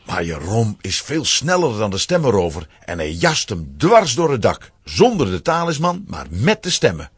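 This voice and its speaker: Deep, husky, animated male storyteller. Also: normal speed, few pauses, no room sound. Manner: dramatic, expressive. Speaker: narrator. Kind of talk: scripted storytelling narration for children's audio drama.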